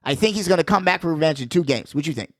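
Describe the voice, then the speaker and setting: High-voiced man talking sports, dry sound. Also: racing, dry recording. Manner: animated, slangy, repeats phrases for emphasis. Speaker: sports podcast host. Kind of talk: casual sports commentary and playoff predictions.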